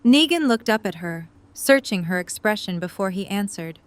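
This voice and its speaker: A woman's voice: mid-range voice, animated, moderate pace. A woman reading scripted story narration for an audio drama.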